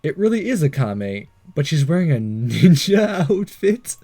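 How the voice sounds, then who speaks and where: Male let's-play host: animated, slightly high but soft-edged voice, casual and wry, thinking aloud while playing. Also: unhurried, soft background music. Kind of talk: casual game commentary, reading text aloud.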